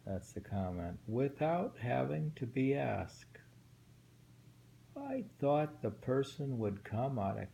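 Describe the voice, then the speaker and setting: A man reading short poems aloud twice each and musing over their meaning in a slow, thoughtful way. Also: lowish voice, creaky, dark tone, a little hiss or hum, low-quality recording. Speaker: poetry reader.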